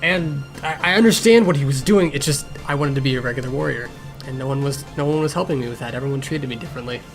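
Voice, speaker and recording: A man's voice: mid-range voice, quick pace, natural voice. A man voicing a grumpy, sarcastic blind young cat healer in a fan Q&A, with a curt, irritable and defensive manner. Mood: earnest, resentful. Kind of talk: improvised in-character fan Q&A. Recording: music behind the voice.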